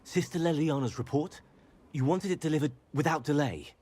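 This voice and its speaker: An actor playing an eager, oblivious soldier messenger in fantasy game dialogue. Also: male voice, a little high, brisk, a little mellow, lightly acted. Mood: eager, sheepish.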